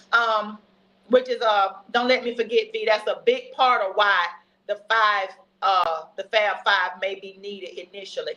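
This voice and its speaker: Bright-voiced upbeat nurse in her fifties. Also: on the high side, dull audio. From United States. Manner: folksy and upbeat, drops in laughs and Southern colloquialisms. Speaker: registered nurse guest. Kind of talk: casual health talk on a livestream.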